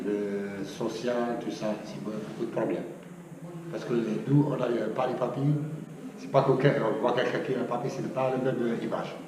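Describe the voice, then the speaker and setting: A man being interviewed, giving a halting personal account and often searching for words. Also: lowish voice. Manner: hesitant, frequent searching for words. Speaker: interviewee, undocumented migrant. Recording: distant, echoey hall with clear noise.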